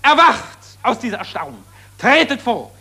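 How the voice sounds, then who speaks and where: An actor playing an impassioned satirist who accuses warmongers and summons the war dead, declaiming solemnly in 1940s German radio drama style. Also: male voice, very high-pitched, big pitch swings, moderate pace, breathy edge, heavily acted. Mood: fiery rallying cry.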